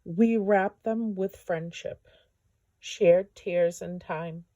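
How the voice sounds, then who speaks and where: A woman's voice: on the low side, drawn-out words, dark tone, dry recording. A woman voicing a kind, wise horse from a storybook in a comforting, reassuring manner.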